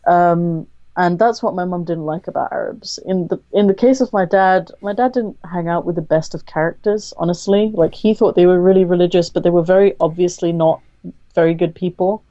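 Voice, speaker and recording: A woman with a low, mellow voice talks candidly as a podcast guest, pausing often with a thoughtful "um" before going on. The sound is dry and close, a little dull and lo-fi. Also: steady tone, no echo. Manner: thoughtful, measured, frequent "um" pauses. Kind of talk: candid conversational interview.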